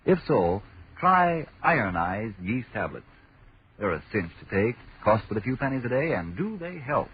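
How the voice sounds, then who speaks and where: An American man announcing in a theatrical, deliberate 1940s radio style. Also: mid-range voice, big pitch swings, breathy edge. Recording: muffled vintage radio recording with music. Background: music.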